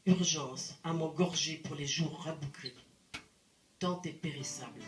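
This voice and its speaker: A woman reciting poetry in a deliberate, dramatic manner with expressive phrasing and pauses. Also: sits low. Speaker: poet reciting. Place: indoor live poetry evening venue. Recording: roomy live recital sound. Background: plucked guitar music.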